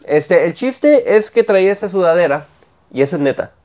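A Mexican man in his thirties talks in loose podcast banter. His voice sits a little high, and he keeps restarting words and laughing between them. It is close and clean through a lapel mic in a small studio. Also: animated, normal speed, a little mellow. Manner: stumbles over words, repeats and laughs often. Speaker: podcast co-host. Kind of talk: casual chat. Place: small studio with brick-wall set. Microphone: clip-on lapel mic.

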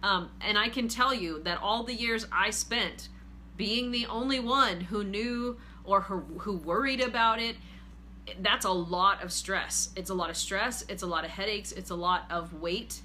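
A woman in her forties hosting a casual money-advice talk from her living room couch, earnest and steady. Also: medium pitch, unhurried, slight background noise. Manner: earnest, steady, reads viewer comments aloud. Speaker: host, finance group leader.

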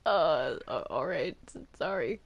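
Creaky voice, lower-register, casual game roleplay, hesitant stammering, repeated words. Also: lively intonation, unhurried, muffled recording. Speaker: player in a group game roleplay. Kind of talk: casual improvised Minecraft roleplay chat. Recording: noisy home game recording with clicks.

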